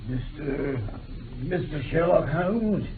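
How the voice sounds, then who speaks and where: A man playing a frail, weary, dying old gentleman in a radio drama, speaking earnestly in a halting, effortful way. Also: on the high side, lively intonation, over music. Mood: weary, feeble. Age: older. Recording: tinny band-limited vintage radio, faint noise.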